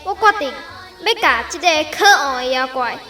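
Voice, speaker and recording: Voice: high voice, expressive, moderate pace, sharp tone, faint music behind. A performer with a Taiwanese accent voicing an eager, childlike boy in a radio drama. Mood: indignant. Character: boy apprentice, childlike, eager, dubbing a righteous boy hero.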